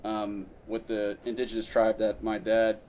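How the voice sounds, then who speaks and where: A man's voice: on the low side, monotone, moderate pace, a little mellow. Rambling man with faint background hiss. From Brazil. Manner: rambling, many fillers and restarts. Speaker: podcast guest, founder. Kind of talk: casual interview chat.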